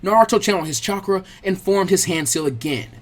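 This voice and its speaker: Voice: mid-range voice, a little fast. A narrator reading an action story aloud as a dramatic, scripted audiobook. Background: background music.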